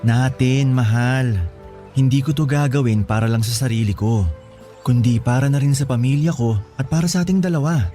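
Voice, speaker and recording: A man's voice: mid-range voice, moderate pace, warm tone, faint music behind, close to an everyday voice. A man playing a devoted young boyfriend in a Filipino radio romance, speaking earnestly and tenderly. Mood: earnest, loving. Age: young adult. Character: devoted young boyfriend leaving to study in the city. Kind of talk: Tagalog radio romance drama acting.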